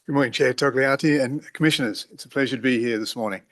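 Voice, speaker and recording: A middle-aged man with an Australian accent formally introducing his background at a hearing, in a measured, orderly way. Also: mid-range voice, fairly bright tone. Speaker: applicant, company board chair.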